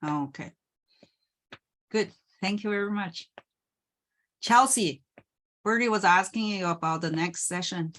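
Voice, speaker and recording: A woman moderating a session, asking questions haltingly with many fillers. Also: mid-range voice, a bit bright.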